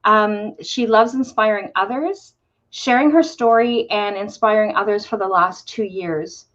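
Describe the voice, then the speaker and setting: A woman hosting a live-streamed interview, bubbly and excited, with many ums and uhs. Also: medium pitch, unhurried, muffled recording. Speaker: host.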